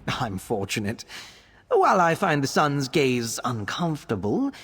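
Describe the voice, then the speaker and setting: Young male vampire scholar, game character, earnest, bookish, slightly breathy husky voice, very animated intonation. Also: mid-range voice, unhurried, big-room sound, heavily acted. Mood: earnest, reflective. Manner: earnest, expressive, articulate and bookish. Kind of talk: video game character voice acting.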